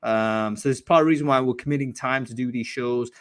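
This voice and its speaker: A man's voice: mid-range voice, fast, fairly bright tone, no room sound, clean studio sound. A man hosting a live online show, talking in a casual, enthusiastic way with many fillers and restarts. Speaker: host of a live recruiting show. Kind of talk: casual live-stream chat.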